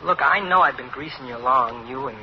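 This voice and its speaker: A man's voice: mid-range voice, fairly quick, a crowd in the background, natural voice. An actor playing a contrite, pleading teenage boy in trouble in an old-time radio drama. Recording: muffled old radio, long reverberant room.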